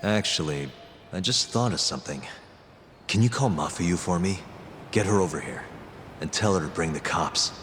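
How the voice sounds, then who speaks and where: Cool low-voiced investigator in game cutscene. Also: male voice, lively intonation, moderate pace, pauses often, a bit bright, slight background noise. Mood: calm, calculating. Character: level-headed, resolute male investigator, adult, quick-thinking. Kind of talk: video game cutscene dialogue. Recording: long reverb, music behind.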